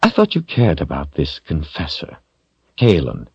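A man voicing a smug, villainous sorcerer in an audiobook, taunting and deliberate, with theatrical mock courtesy. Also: rumbling low, huge pitch swings, creaky, a little mellow, husky, dry recording, dull audio, full character voice. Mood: silky threat. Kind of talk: audiobook character voice.

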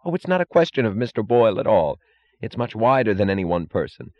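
Man putting on a fervent, high-pitched righteous bureaucrat. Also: sing-song, quick pace, dull audio. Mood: righteous, urgent. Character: righteous, avid male bureaucrat preaching sacrifice and duty.

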